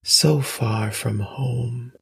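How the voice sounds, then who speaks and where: A man voicing an ancient female spirit in an audiobook, soft, eerie and coaxing, in a slow, hushed half-whisper. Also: medium pitch, gravelly, breathy edge, exaggerated voice. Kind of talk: audiobook storytelling.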